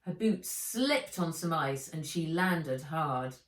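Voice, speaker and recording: Female storybook narrator, measured and expressive, slightly low voice, roomy sound from a little way off the mic. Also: recorded from a distance. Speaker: author reading their own book. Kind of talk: children's audiobook narration.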